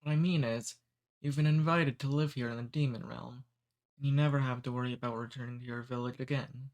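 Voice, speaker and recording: A man voicing a cordial, amused male demon who takes humans away, speaking softly and unhurriedly in an ASMR roleplay. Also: mid-range voice, steady tone, fairly quick, lightly acted.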